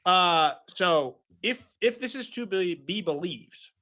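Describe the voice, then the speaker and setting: A man in his forties leads a casual sports podcast, with a bright, forceful voice pitched a little high. He jokes, rambles with fillers and keeps the chat moving. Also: expressive, slow pace. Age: 40s. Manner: joking, chatty, rambling with fillers. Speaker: podcast host.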